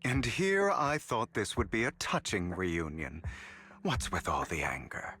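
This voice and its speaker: An actor voicing a suave, smug young master con artist in a video game, with a dramatic, measured, teasing delivery. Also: male voice, lowish voice, animated, sharp tone, husky, exaggerated voice. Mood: mocking.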